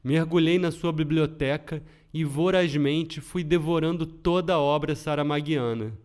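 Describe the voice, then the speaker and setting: A man's voice: on the high side, measured pace, a little mellow. Earnest Brazilian man hosting a podcast. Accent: Brazilian Portuguese. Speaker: podcast host. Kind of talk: scripted podcast narration.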